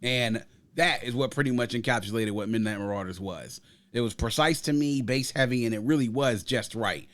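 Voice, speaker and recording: A man's voice: mid-range voice, normal speed, fairly bright tone, no room sound. A man hosting a music podcast, giving an emphatic, conversational review and often checking in with the listener.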